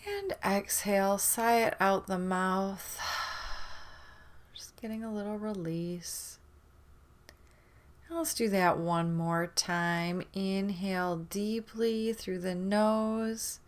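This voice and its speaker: A woman leading a guided meditation in a gentle, soothing manner, leaving long pauses between phrases. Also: mid-range voice, fairly even intonation.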